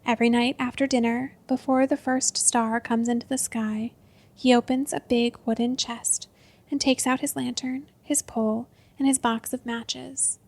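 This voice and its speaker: A woman reading a short story aloud as narrator, often dropping to a hushed, breathy whisper. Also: on the high side, little pitch movement, moderate pace.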